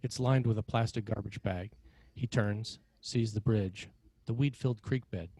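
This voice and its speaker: A man with a lowish voice narrates a screenplay. He reads stage directions in a measured, dramatic style. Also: warm tone, no room sound.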